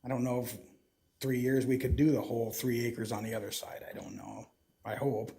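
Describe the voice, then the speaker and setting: A man's voice: medium pitch, steady tone, a little fast, mellow. A man applying to a city board, answering questions in a hesitant, hedging way. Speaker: applicant.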